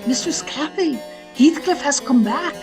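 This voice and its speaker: A woman playing a devoted servant who tells the story in a radio drama, measured and dutiful. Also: sits high, music in the background. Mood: respectful. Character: Ellen, devoted old female servant telling a story.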